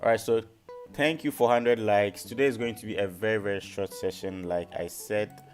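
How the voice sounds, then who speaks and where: A man's voice: on the low side, steady tone, normal speed, soft background music. A man hosting a live trading lesson, repeating his phrases and often checking in with his listeners. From Ghana. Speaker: forex trading educator and live stream host. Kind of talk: live stream teaching, market analysis.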